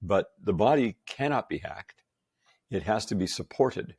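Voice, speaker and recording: Gravelly deep-voiced man in his sixties. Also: lively intonation, measured pace, darkish tone, no echo, studio quality. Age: 60s. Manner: enthusiastic, emphatic, laughs often. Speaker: podcast guest. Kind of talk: casual chat.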